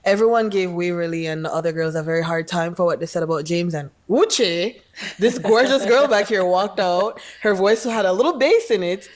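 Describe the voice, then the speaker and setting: A woman's voice: fairly low pitch, moderate pace. Pointed opinionated woman. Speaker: dating show contestant.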